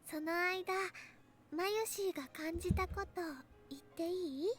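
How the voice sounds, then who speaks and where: A woman's voice: very high-pitched, brisk, soft background music. An actress playing a sweet, insecure, childlike teenage girl in a Japanese visual novel, with airy, childlike speech. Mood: earnest, cheerful.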